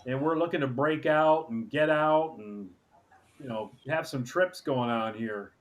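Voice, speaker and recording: A man's voice: medium pitch, unhurried. A middle-aged man hosting a livestream, chatting casually with playful, jokey banter. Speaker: livestream host. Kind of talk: casual chat.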